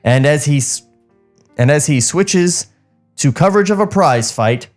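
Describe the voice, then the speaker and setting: A man's voice: a little high, expressive, a little slow, soft background music. A man co-hosting a podcast, narrating screen directions from a script with casual asides. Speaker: podcast co-host reading a script.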